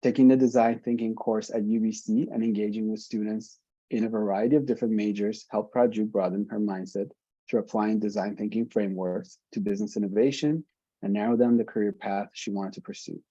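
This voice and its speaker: Man reading an intro, low voice, very dark and husky, dry dull audio. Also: steady tone, brisk, no room sound. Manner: reads steadily from a script. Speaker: moderator introducing guest.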